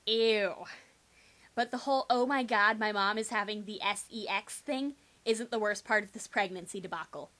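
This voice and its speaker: Bright-voiced young woman reading theatrically. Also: fairly high pitch. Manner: exaggerated, sarcastic, theatrical emphasis. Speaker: amateur reader. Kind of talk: dramatic reading of a first-person teen novel.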